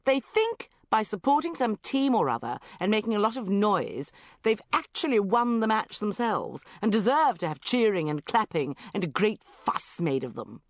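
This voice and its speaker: A woman's voice: mid-range voice, big pitch swings, moderate pace, dry recording, clean studio sound, pitched above the performer's own voice. A woman voicing an indignant female friend who rants emphatically. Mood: ranting. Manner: emphatic, ranting.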